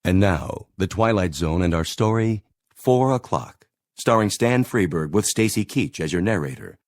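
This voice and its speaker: A man's voice: low-pitched, animated, normal speed. A man announcing a radio drama in a scripted introduction.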